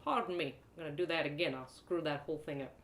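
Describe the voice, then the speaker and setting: A woman reading a first-person fantasy story aloud in a dramatic, expressive way. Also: low-pitched, fast. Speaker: storyteller, author reading live. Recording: roomy echo.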